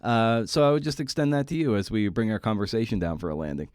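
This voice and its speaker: A man with a fairly low voice hosts a casual interview in a dry, echo-free recording. He talks candidly and conversationally, often halting as he shapes a thought. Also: darkish tone. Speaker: podcast host, interviewer.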